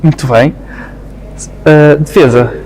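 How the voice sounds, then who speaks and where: A man's voice: on the high side, takes it slowly, a little mellow. A man giving short prompts as an interviewer. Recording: distant, reverberant hall, faint noise.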